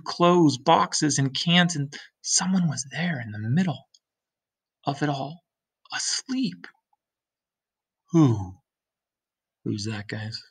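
Gravelly husky teacher guy reading a comic to kids, hops up high for the characters, webcam mic. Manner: animated, shifts pitch for the characters' lines. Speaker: teacher reading aloud to students. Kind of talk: read-aloud of a graphic novel with character voices and questions to class. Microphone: webcam on a computer screen recording.

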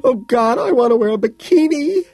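A man putting on a high-pitched, exasperated young woman. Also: very animated, measured pace, slightly rough, no room sound, faint music behind. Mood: hysterical wailing. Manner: shrieking, indignant delivery. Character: irritable, exasperated young woman, gasping and shrill.

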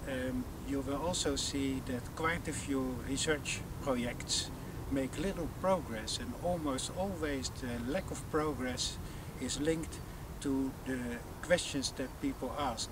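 A man's voice: mid-range voice, unhurried. An older man lecturer gives thoughtful, hesitant advice to students, speaking outdoors in open grassy dunes. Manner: hesitant, thoughtful, with many fillers. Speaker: course founder and lecturer. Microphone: camera mic at a distance. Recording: distant outdoor mic with loud wind.

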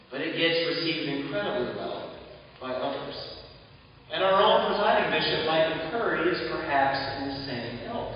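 Echoey, higher-pitched American man preaching. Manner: rhetorical, deliberate pauses, dramatic emphasis. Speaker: Episcopal priest preaching. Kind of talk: sermon. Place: church pulpit, indoors. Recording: echoey church, distant mic, muffled, faint noise.

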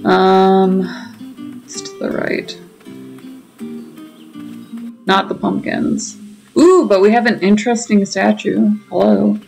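Woman, heavy fry, chatty gaming commentary, thinking aloud, soft game music behind. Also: mid-range voice, slow pace. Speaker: game streamer. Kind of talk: casual playthrough commentary.

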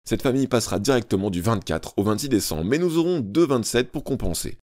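Man doing a blustering tyrant dad, pompous, shouty, raised and swooping pitch, dry close sound. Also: expressive, a little fast, no echo, lightly acted. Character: tyrannical, ranting middle-aged father, banker.

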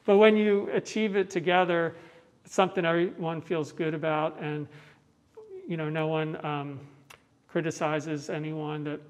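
Higher-pitched, even-toned American man. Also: measured pace. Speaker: bell ringer giving a tour. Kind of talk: explanatory interview chat. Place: large indoor tower room. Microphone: clip-on mic on the chest.

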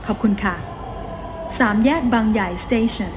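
A woman reading scripted train station announcements in a formal, measured and clearly enunciated manner. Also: fairly high pitch. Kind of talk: scripted public transit announcement. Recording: train announcement with loud cabin noise. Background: train running noise.

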